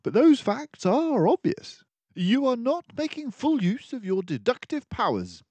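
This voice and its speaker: Here is a man reading aloud as a storybook mouse detective, confident and formal. The voice sits high above a normal man's and swings widely as it lectures with precise self-assurance. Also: wildly swooping pitch, unhurried, a little mellow, no room sound, heavily acted. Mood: self-assured, brisk.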